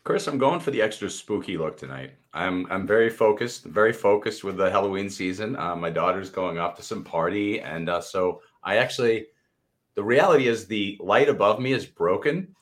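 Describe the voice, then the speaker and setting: American guy co-host, kinda low voice, joking around and poking fun at himself, just casual chat. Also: lively intonation, moderate pace. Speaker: talk show co-host.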